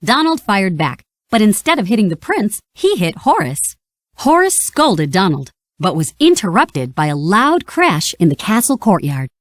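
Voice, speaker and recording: A woman reading a children's read-along story in an expressive, scripted storytelling style. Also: mid-range voice, moderate pace, dry recording, studio quality. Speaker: storybook narrator.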